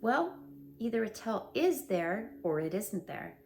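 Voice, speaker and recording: A woman's voice: mid-range voice, very animated, a little slow, darkish tone, slight background noise. A woman voicing a kind, earnest male teddy bear who is eager to help, in a storybook read-aloud. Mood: concerned. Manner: eager, helpful.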